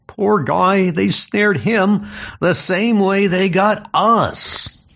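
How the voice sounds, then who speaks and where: A man voicing an anxious male scientist held prisoner, explaining quickly and nervously. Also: high-pitched, creaky, fairly bright tone, voice pushed up, exaggerated voice. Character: worried male kidnapped scientist.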